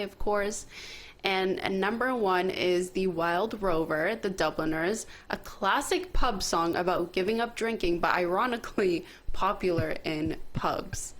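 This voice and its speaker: A woman hosting a podcast in a casual, conversational chat-show style. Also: on the low side. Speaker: podcast host.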